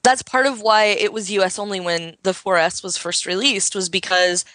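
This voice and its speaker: A woman's voice: medium pitch, fairly even intonation, normal speed, a bit bright, no echo. An American woman co-hosting a casual tech podcast, explaining her views at length. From United States. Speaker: podcast co-host.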